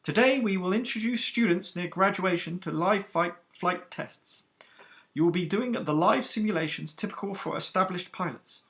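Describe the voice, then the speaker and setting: A man's voice: high-pitched, normal speed, fairly bright tone, voice pushed up. A man voicing a stern, commanding woman starship captain with a clipped alien accent. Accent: stern alien Celestian accent. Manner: clipped and authoritative.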